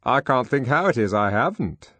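A man's voice: medium pitch, lively intonation, moderate pace, fairly bright tone, no echo, clean studio sound, voice pushed up. A man voicing an eager male official answering a friend's boast. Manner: eager, leering.

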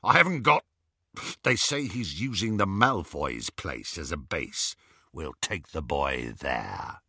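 A man voicing a menacing male werewolf who speaks slowly, quietly and with a rasp, often near a whisper. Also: rumbling low, expressive, dry recording, clean studio sound, voice pushed down, full character voice. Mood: snarling. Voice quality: rasping, growling. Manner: slow, quiet and breathy.

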